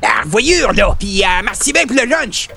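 An old Québécois grandpa for an old-time radio comedy. The voice is high, bright and creaky, swinging widely in pitch. He is cheerful, folksy and cheekily oblivious, full of drawn-out exclamations. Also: big pitch swings, a little hiss or hum, exaggerated voice. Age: older. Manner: folksy, drawling exclamations and repeated tag words. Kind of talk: comedy radio serial acting in 1940s manner.

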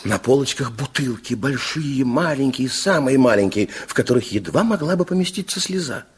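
A man's voice: medium pitch, lively intonation, moderate pace, breathy edge. A man playing a kindly, playful storyteller in a children's radio play, speaking in a confiding way. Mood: wondering. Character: kindly fairy-tale narrator, playful and confiding.

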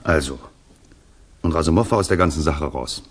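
Deep-voiced, husky sceptic. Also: male voice, normal speed. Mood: sceptical. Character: sceptical, matter-of-fact male listener questioning a storyteller. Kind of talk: conversational radio play dialogue.

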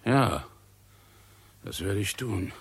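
Creaky, deep-voiced puzzled local man. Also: expressive, a little hiss or hum, exaggerated voice. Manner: hesitant, slow on the uptake. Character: slow-witted, puzzled local man stopped at a checkpoint. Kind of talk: 1960s German radio play acting.

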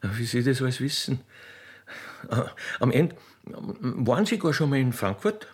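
A man voicing a naive, chatty railway keeper's daughter with an Austrian accent in a radio play, halting and repeating words eagerly. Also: medium pitch, big pitch swings, husky. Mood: dreamy, admiring. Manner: halting, repetitive, rustic and eager. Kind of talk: radio play character acting.

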